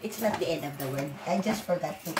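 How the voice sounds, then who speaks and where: A woman's voice: low voice, fast talker, noisy background. A woman from the Philippines with a Filipino accent, a native Waray speaker, rambling bluntly and swearing freely while cooking in a casual home vlog. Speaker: vlogger. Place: home kitchen. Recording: echoey room from far off, loud sizzling.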